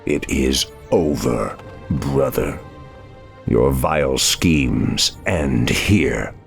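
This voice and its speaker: Fantasy game archdruid: grave, commanding, theatrical, gravelly and slow, over music. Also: male voice, rumbling low, full character voice. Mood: grave resolve. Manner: solemn, theatrical, emphatic. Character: anguished, noble male archdruid, grave and commanding. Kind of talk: dramatic game cutscene acting.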